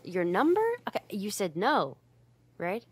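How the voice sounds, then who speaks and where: A flustered tsundere girl roommate played by a woman in an ASMR roleplay, with a medium-pitched voice and an unhurried pace. She stammers and gets huffy and defensive, barely hiding that she is fond of her listener. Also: very animated, husky, full character voice. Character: flustered tsundere female roommate, easily embarrassed, secretly fond.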